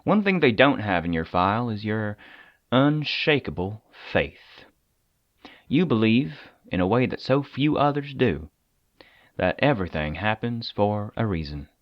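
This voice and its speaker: An actor playing a weary, persuasive government agent in an audio drama, talking conversationally with deliberate dramatic pauses. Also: male voice, fairly low pitch, big pitch swings, no echo, lo-fi sound. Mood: earnest, probing. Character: weary, persuasive male agent of a secret containment agency.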